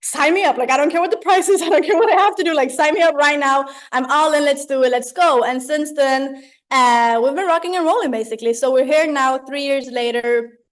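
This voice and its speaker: A woman, giving an informal, slangy motivational training on a video call in a chatty, animated way. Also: sits high, fairly quick. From Sweden. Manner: chatty, animated, slangy, checks in with "right?" and "you know?". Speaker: mentor leading an online training.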